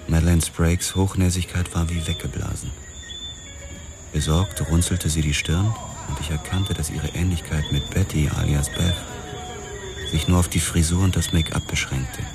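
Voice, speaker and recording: An actor narrating as a world-weary police officer in a hard-boiled radio drama, terse and measured. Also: male voice, rumbling low, steady tone, vocal fry, over music. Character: world-weary male cop narrating his case.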